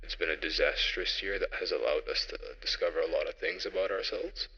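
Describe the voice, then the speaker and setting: A young man presenting an informal solo audio log, speaking haltingly and reflectively as he thinks aloud, through a radio-style effect. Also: low voice, fairly even intonation, pushed and bright, breathy edge, in a large hall, dull audio. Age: young adult.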